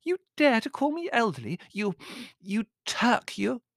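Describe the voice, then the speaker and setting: A man plays a tiny, fat old countess from a storybook. The voice is high, girlish and musical, swooping extravagantly. The manner is effusive and theatrical, repeating phrases for effect. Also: huge pitch swings, unhurried, dry recording, clean studio sound, full character voice. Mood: shrill outrage. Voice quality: musical little-girl voice, shrill when outraged. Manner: effusive, breathy exclamations, repeats herself. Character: tiny plump elderly countess, gushing, girlish, theatrically indignant.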